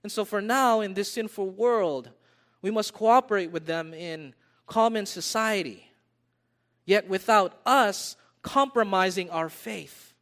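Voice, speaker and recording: A man's voice: high-pitched, a bit bright. An American man preaching a sermon, repeating his phrases for emphasis and calling his listeners beloved. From United States. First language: English. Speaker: pastor.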